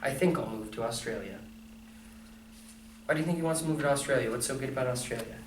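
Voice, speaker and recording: A man's voice: medium pitch, far from the mic. A man reads a children's picture book aloud in a conversational way, as a read-aloud to young listeners. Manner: conversational, pausing to comment and question listeners. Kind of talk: storybook read-aloud with casual asides. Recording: echoey room, off mic, faint noise.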